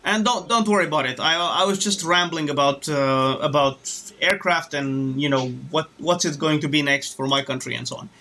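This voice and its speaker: Bright-voiced man rambling while flying. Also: fairly high pitch, moderate pace, few pauses, a little hiss or hum. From Romania. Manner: rambling, hesitant, many restarts. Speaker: flight sim streamer. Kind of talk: casual live-stream chat while flying.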